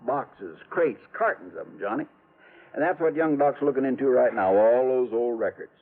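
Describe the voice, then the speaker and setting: An actor playing a folksy, excitable small-town insurance man in an old-time radio drama. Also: medium pitch, normal speed, close to an everyday voice. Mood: explanatory. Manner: folksy, colloquial, emphatic. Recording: tinny, noisy vintage radio recording.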